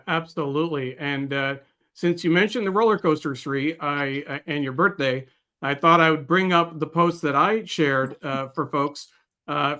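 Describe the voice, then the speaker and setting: A man co-hosting a live show casually, with a hesitant, halting delivery full of pauses. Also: fairly high pitch, pushed and bright. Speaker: co-host.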